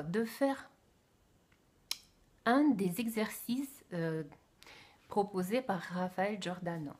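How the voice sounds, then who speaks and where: A woman from Russia speaking French as a second language with a Russian accent, giving a casual book review to the camera with careful, hesitant phrasing and soft whispered asides. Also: medium pitch, breathy edge.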